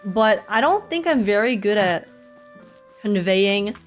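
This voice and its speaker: Female game streamer, mid-pitched, casual and giggly, chats and reads aloud over soft game music. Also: animated, slow pace. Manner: giggly, informal. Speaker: game livestreamer. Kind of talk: casual livestream chat, reading text aloud.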